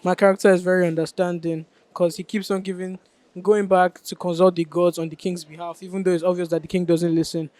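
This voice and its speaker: A man's voice: high-pitched, little pitch movement, a little fast, a little mellow, no room sound. A young man with a Nigerian accent explaining his acting role in an interview. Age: teenager or young adult. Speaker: student actor in a school play.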